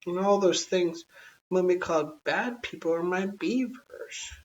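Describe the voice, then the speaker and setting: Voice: mid-range voice, unhurried, far from the mic, lo-fi sound, pitched above the performer's own voice, lightly acted. An adult storyteller voicing a small, outspoken girl, innocent and indignant, in storybook dialogue. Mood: earnest, defensive.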